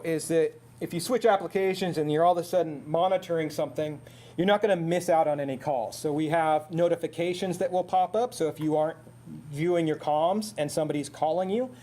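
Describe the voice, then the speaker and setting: A man's voice: fairly high pitch, a little mellow. A man presenting a technical product at a seminar in a conversational, explanatory way. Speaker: product presenter for an intercom manufacturer.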